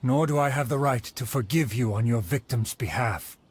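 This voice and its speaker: Actor for a grave, formal sword-art heir in a game, middle-pitched and measured. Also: male voice, a little hiss or hum, natural voice. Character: dignified sword-art successor, a grave man. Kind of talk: video game voice acting.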